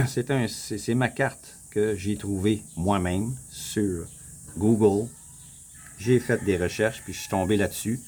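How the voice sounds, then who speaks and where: A man with a Québécois accent, casually walking viewers through a map on his screen, rambling, repeating himself and clearing his throat often. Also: on the low side, slow pace, a little mellow. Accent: Québécois French. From Quebec. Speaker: vlogger. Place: home in the Philippines.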